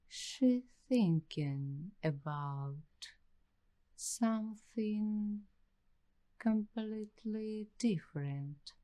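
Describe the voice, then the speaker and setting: A woman playing an uneasy, paranoid young waitress in an ASMR roleplay, speaking in hushed, deliberate, halting phrases with suspenseful pauses. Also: medium pitch. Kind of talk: slow ASMR roleplay storytelling.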